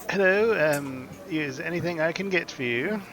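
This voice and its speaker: A man's voice: on the high side, expressive, moderate pace, fairly bright tone, a little hiss or hum, voice pushed up, rough recording. A man doing a prim, hesitant shopkeeper. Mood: polite. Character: fussy male hat shop proprietor.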